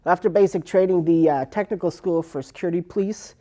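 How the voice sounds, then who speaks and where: Older woman, military veteran, warm low-key tone, rambling oral-history telling. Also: a little fast. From United States. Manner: rambling, with many fillers and restarts. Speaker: Air Force veteran interviewee. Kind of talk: personal oral history interview.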